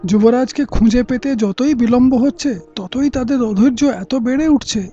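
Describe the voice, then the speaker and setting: A bold subject in an audio drama, aggrieved and insistent. His speech is formal, his tone mellow. The recording is dull, with faint music. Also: extremely high pitch, normal speed. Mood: insistent, accusing. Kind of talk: Bengali audio play acting.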